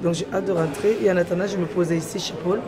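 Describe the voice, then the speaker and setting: A woman's voice: on the low side, fairly even intonation, fast talker, heavy background noise. A young woman chatting to her phone camera as a travel vlogger, rambling through her day at an airport and on a plane. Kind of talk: casual vlog chat to camera. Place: airport terminal and plane cabin, indoors.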